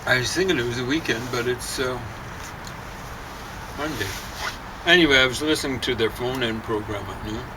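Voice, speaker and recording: A man's voice: mid-range voice, moderate pace, long pauses. A man giving his opinions in casual chat outdoors, with a sardonic, grumbling manner. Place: outdoors on a deck. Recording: distant from mic, clear outdoor traffic noise.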